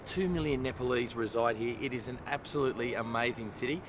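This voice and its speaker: A man's voice: medium pitch, fast. An enthusiastic Australian man presenting a travel documentary in an upbeat way, speaking to the audience. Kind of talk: upbeat presenting, partly to camera, partly scripted narration. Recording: noisy outdoor location sound.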